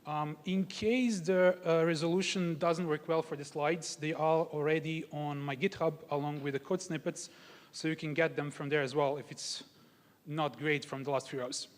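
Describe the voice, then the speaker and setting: A young man from Croatia gives a technical talk at a conference and walks the audience through examples step by step. His voice sits a little high for a man. The sound carries a long hall reverb. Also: fairly even intonation, moderate pace. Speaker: conference speaker.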